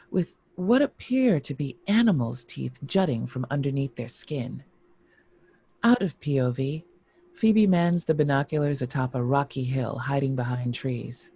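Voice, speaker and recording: A man's voice: mid-range voice, warm tone, husky. A man giving scripted narration, reading a screenplay's stage directions.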